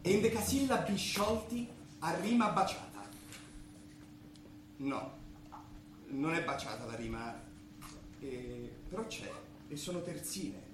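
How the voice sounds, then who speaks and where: An actor playing a bashful, pretentious young amateur poet in a stage comedy, halting and self-conscious. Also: male voice, on the high side, lively intonation. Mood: awkward, nervous. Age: young adult. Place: theatre stage. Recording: echoey theatre recording, faint noise.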